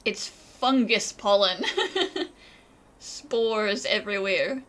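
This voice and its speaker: Bright, brash adventurer girl. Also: mid-range voice, very slow. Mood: amused. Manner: casual, teasing.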